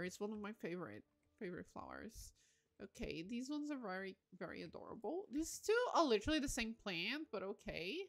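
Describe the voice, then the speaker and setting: A woman chatting casually on a game livestream, excitable and gushing, often breaking into exclamations. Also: on the high side. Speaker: live streamer.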